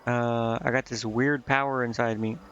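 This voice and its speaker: A man's voice: mid-range voice, fairly even intonation, moderate pace, fairly bright tone. A man giving casual game stream commentary in a hesitant, vague, meandering way. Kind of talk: casual rambling game stream commentary, reading dialogue aloud. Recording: dull lo-fi mic with clear background noise.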